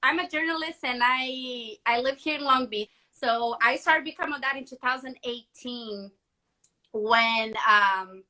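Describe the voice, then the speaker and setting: A woman journalist hosting a conversational interview, enthusiastic and warm. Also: high-pitched, measured pace, rough recording. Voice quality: warm, enthusiastic, restarts often. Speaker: host, journalist.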